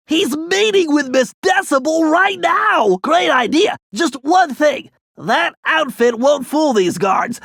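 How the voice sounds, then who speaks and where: High-pitched creaky nerdy cartoon turtle. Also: male voice, a bit bright, dry recording, clean studio sound, exaggerated voice. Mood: urgent, alarmed, then brisk, cautioning. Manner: precise, bookish, earnest mission briefing. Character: nerdy, brainy, anxious young male cartoon turtle gadget expert. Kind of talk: animated video game voice acting.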